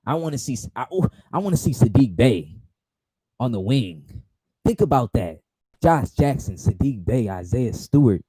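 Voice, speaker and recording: A man in his twenties chatting casually with his live-stream viewers from a home setup, excitable and repetitive. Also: mid-range voice, moderate pace, breathy edge, low-quality recording. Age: 20s. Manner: excitable, repetitive, talks straight to chat. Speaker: sports streamer. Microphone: desk mic and headphones.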